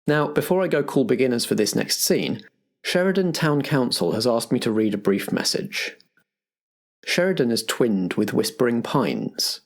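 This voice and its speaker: A man with a slightly husky voice, hosting and narrating a roleplay show. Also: mid-range voice. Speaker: host and game master of an improvised roleplay podcast. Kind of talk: scripted announcements and game narration.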